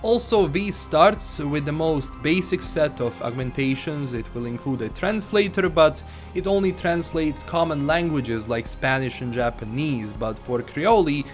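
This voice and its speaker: A man's voice: on the high side, animated, a little slow, hardly any pauses. A man narrating gaming news in an informal, explanatory way, speaking directly to viewers. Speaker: video game news YouTuber. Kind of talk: informal scripted video narration. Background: background music.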